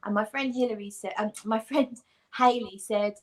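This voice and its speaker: A woman's voice: a little high, fairly even intonation, normal speed. A woman as a guest on a live chat, telling a long anecdote in a rambling, self-deprecating way.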